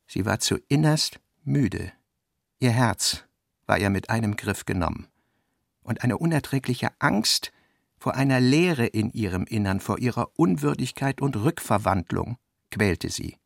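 Thoughtful novel narrator for radio drama, gravelly, deliberate w pauses, very dry sound. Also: male voice, mid-range voice, dry recording, exaggerated voice. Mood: reflective. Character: reflective male narrator of a modernist novel. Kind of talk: literary radio-play narration.

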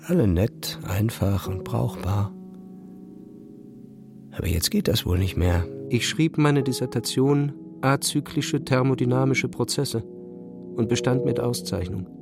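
An actor playing an obsessed, brooding physicist who narrates his own life as an introspective, measured inner monologue in a radio drama. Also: lowish voice, gravelly. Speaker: narrator and lead character. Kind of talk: literary first-person radio drama narration. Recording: music behind the voice. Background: music.

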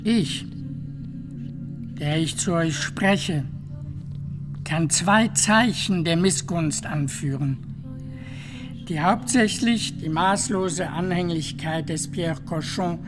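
An actor playing an earnest friar who testifies deliberately and formally in a radio play, with music underneath. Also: mid-range voice, close to an everyday voice. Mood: solemn testimony.

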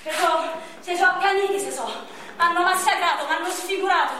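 Battered, bitter young man, played by an actress; shouts crude curses; distant, roomy stage sound. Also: extremely high pitch, a little fast. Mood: anguished. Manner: shouting, crude, furious outbursts. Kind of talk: stage monologue. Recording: echoey stage from far off, noisy.

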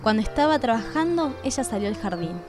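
A woman narrates a radio play with an Argentine accent. Her voice is fairly high and follows a script, with music clearly playing behind her. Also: very animated, fairly quick, darkish tone.

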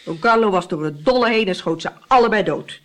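A woman's voice: mid-range voice, animated, a little fast, lightly acted. A woman playing a gossipy, pious widow in a radio drama, telling scandal in a dramatic, confiding way. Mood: relishing, confiding. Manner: dramatic, confiding storytelling with tag questions.

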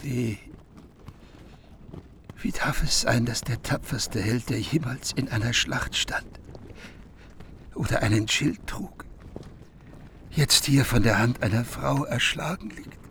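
A noble lord in dismay and mourning for a radio drama. His gravelly voice moves slowly at a middle pitch. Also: fairly bright tone, a little hiss or hum. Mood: shocked sorrow. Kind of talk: German radio drama acting.

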